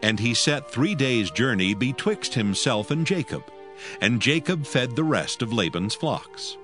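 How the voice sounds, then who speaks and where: A man narrating scripture from a script in a measured, solemn storytelling manner. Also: medium pitch, expressive, fairly bright tone, soft background music.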